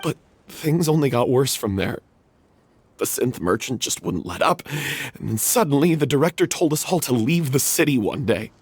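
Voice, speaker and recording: Guilty theater troupe dude in a game, husky voice, pitch swinging all over. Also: male voice, lively intonation, normal speed, darkish tone. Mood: remorseful. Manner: confessional, growing desperate self-blame. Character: guilt-ridden male theater troupe member. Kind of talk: video game voice acting.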